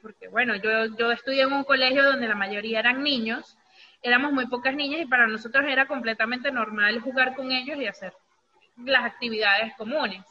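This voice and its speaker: A woman with a Venezuelan accent talking casually, telling things in an animated way with acted-out quotes, on a dull lo-fi recording. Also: fairly high pitch, fairly quick. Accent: Venezuelan Spanish. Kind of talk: casual conversational talk. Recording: dull lo-fi audio with faint noise.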